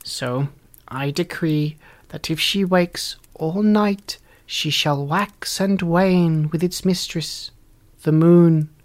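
A woman's voice: lowish voice, takes it slowly. A woman voicing a wicked, toothless old fairy in a storybook reading, spiteful and cackling, biting off each word. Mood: gloating. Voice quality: harsh, creaky, mumbling.

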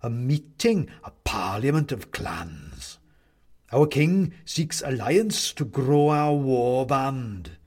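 A man voicing a headless toy clown guard who speaks slowly in measured, riddling phrases. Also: mid-range voice, animated, a little mellow, slightly rough. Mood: cryptic.

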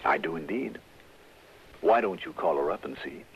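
An American actor playing a shrewd, brisk insurance investigator in a 1960s radio detective drama, speaking crisply and deliberately. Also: male voice, low voice, animated, full character voice. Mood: gently probing. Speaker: lead actor and narrator. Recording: dull old radio broadcast, music behind.

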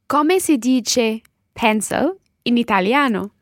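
A woman's voice: fairly high pitch, animated, takes it slowly, no room sound, studio quality. A woman co-hosting a language lesson as the learner, carefully repeating each phrase. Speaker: co-host learner.

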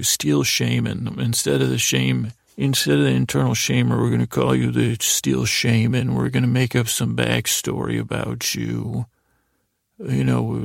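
Very creaky-voiced man rambling at bedtime. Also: mid-range voice, dry recording, clean studio sound. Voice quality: very creaky, gravelly. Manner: meandering, halting, self-deprecating. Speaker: podcast host.

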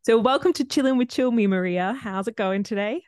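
A woman's voice: a little high, brisk, no echo, studio quality. A woman hosting a podcast, reading a scripted introduction and then chatting casually with her guest. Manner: warm scripted intro then relaxed chat with fillers. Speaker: podcast host. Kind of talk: casual interview chat.

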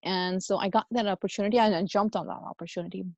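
Podcast guest, female, American-raised, conversational and emphatic, mid-range voice, slightly dull low-fidelity sound. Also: steady tone, fast, dry recording. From United States. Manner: emphatic, anecdotal, with rhetorical questions. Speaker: podcast guest, Islamic educator and youth workshop leader. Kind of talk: earnest conversational interview talk.

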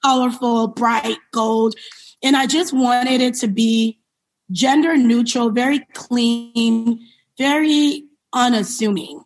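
A woman's voice: a little high, steady tone, slow, rough recording. A woman who grew up in New York City, answering in a conversational interview as a company founder, reflective and explanatory. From born in Liberia, grew up in New York City.

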